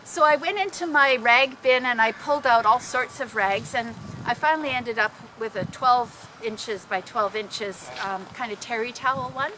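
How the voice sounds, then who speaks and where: A woman telling personal stories informally to a group, in an animated, dramatic manner. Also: on the high side, normal speed, hardly any pauses, noisy background. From Canada. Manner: animated, dramatic, acting out exclamations. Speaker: storyteller.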